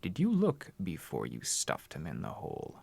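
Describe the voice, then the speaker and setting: An actor playing a mysterious, ominous interrogator in a radio drama, gravelly and husky, questioning with deliberate insistence. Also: male voice, low voice, huge pitch swings, a little mellow, dry recording, full character voice. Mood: probing. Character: ominous, probing male interrogator, mysterious and controlled.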